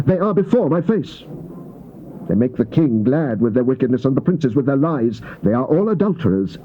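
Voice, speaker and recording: A man's voice: medium pitch, natural voice. Dull tape of a wrathful prophet, acted by a man. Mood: indignant. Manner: solemn, declamatory, theatrical delivery. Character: wrathful, grieving prophet speaking as God. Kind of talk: dramatized King James scripture reading. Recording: dull, noisy old cassette tape.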